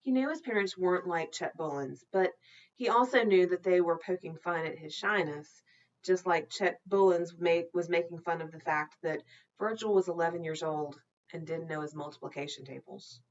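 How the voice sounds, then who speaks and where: A woman narrating a children's novel aloud in an expressive, deliberate storytelling manner. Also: lowish voice, dull audio. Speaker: storytime reader, teacher.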